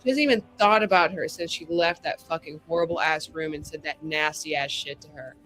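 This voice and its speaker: A woman's voice: sits low, brisk, a bit bright, faint music behind, poor audio. A woman playing in a tabletop show, chatting casually with playful, sarcastic, swearing delivery over her character. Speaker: player on an actual-play tabletop show.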